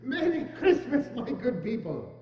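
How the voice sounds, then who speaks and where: A man playing a reformed, giddy old miser who stammers excitedly in a theatrical dramatic reading. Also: very high voice, measured pace, full character voice. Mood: grateful. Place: church, community dramatic reading. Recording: echoey church hall, muffled.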